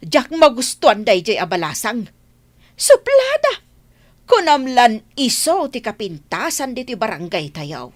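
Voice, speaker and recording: Middle-aged village mother, Ilocano accent, high animated voice, chatty radio comedy. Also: normal speed. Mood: teasing, fussy. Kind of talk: comedy radio drama acting.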